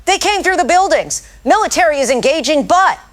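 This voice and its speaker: A woman's voice: high voice, animated, a little fast. A woman playing a frightened, urgent field reporter under attack in an apocalyptic news audio drama. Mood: panicked. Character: panicked young female field reporter in a convoy under attack.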